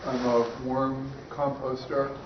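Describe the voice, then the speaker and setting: A man's voice: mid-range voice, flat delivery, slow pace. A man introducing himself informally as a workshop participant. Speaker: workshop participant, worm composter. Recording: distant, echoey, muffled, noisy room recording.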